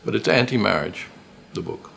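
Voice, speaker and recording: A man's voice: fairly low pitch, lively intonation, normal speed, vocal fry, a bit bright, rough recording. An older man, a retired professor and writer, reminiscing casually in an interview, rambling and repeating phrases for emphasis.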